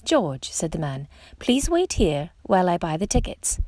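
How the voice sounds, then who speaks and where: Animated woman reading a children's storybook. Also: fairly low pitch, normal speed, no room sound. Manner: expressive, dramatic emphasis on exclamations and questions. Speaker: storytime reader. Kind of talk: read-aloud children's picture book narration.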